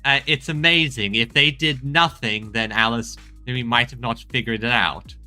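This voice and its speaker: A man's voice: medium pitch, normal speed, pushed and bright, faint music behind. A man commenting casually while playing a story game, rambling with little chuckling asides. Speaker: gamer playing a story quest. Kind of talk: casual let's-play commentary.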